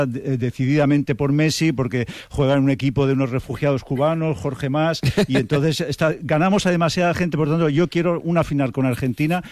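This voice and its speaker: Male commentator, quick delivery, slightly high voice, wry and emphatic, dry studio sound. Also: a little mellow, no echo. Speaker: radio show commentator. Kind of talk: opinionated conversational commentary.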